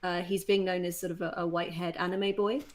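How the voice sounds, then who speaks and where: Lowish-voiced woman interviewer, agreeable. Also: flat delivery, fast, slight background noise. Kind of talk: conversational interview.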